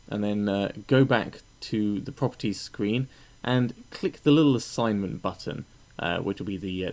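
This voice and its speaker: A man with a fairly low voice presents a software tutorial as a voice-over. He explains things step by step in a methodical, instructional manner while keeping the wording informal. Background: voice-over for a screen recording.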